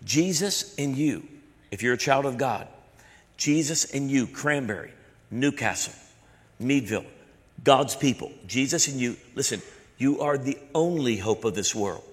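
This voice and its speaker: A man's voice: mid-range voice, expressive, unhurried. Southern guy preaching, repeats lines for punch, big reverb hall sound. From American South. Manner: preaching with repetition and building emphasis. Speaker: pastor. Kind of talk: sermon. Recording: echoey hall with long reverb.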